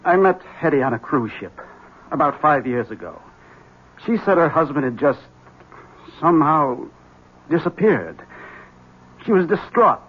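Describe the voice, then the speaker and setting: A man's voice: fairly high pitch, big pitch swings, a little slow, long pauses, breathy edge, heavily acted. An actor playing a haunted, desperate man who urgently warns a rival, in a 1970s radio drama. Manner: tense, urgent, bursts of agitation. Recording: dull 1970s radio broadcast.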